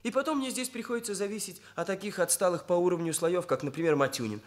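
A man's voice: sits high, fast, natural voice. An actor in a Soviet radio drama playing a proud, earnest young male hairdresser who speaks in stiffly formal, bookish phrases. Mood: earnest, resigned.

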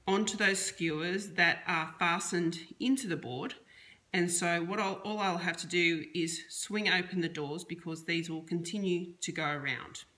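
A middle-aged woman with a slightly low voice talks casually through a cake decorating tutorial. She explains each step in a chatty way, often restarting her sentences. She is recorded in her home kitchen by a camera sitting on the counter. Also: sharp tone. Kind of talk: casual how-to demonstration.

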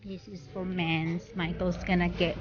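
A woman's voice: on the low side, level tone, moderate pace, highs cut off, a crowd in the background. A woman chatting casually as she vlogs from a car and then shows viewers around a store. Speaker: vlogger. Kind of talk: casual travel vlog chat. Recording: loud music over the voice.

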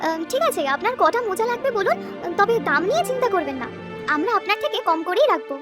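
A suspicious village wife, acted by a woman in a children's cartoon. She speaks fast in a high voice, blunt and practical, over loud music. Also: very animated. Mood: firm, businesslike. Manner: brisk, matter-of-fact.

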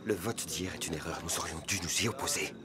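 An actor playing a scheming Gallic nobleman in an animated historical drama, speaking in a hushed, conspiratorial way. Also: low voice, brisk, background noise, lightly acted. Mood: bitter, tense.

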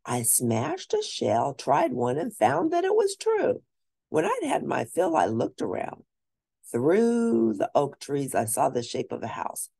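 Female children's book narrator, low mellow register, highly animated and expressive read-aloud delivery. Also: gravelly, breathy edge, dry recording, studio quality. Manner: animated, deliberate, lingering on key words. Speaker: storyteller reading a children's book.

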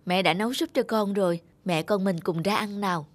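A woman's voice: medium pitch, a little fast, lightly acted. A woman voicing a young, doting and anxious mother in a cartoon. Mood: tender. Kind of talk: cartoon voice acting.

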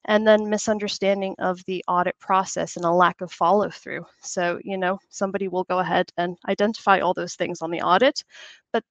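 Woman, 30s, slightly hoarse, gravelly, chatty webinar presenter, dry dull video call sound. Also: lowish voice, steady tone, fairly quick, no echo. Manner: chatty, upbeat, frequent "you know".

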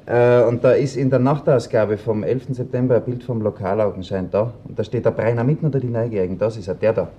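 Man playing a diligent male police assistant, Austrian accent, rapid speech, soft dark timbre, keen, radio drama. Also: medium pitch, fairly even intonation, muffled recording, slight background noise, lightly acted. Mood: eager. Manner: brisk, reporting, keen. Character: eager, diligent male junior police investigator. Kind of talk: crime radio play acting.

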